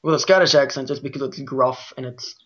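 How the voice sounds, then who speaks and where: A man's voice: medium pitch, fairly quick, muffled recording. A man with a Midwestern American accent talking casually as host of a podcast discussion. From Midwest United States.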